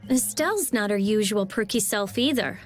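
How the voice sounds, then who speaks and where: A woman's voice: medium pitch, lively intonation, moderate pace, soft background music, close to an everyday voice. A woman playing a pert, practical young girl in a video game, offhand and businesslike. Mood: brisk. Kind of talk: video game cutscene voice acting.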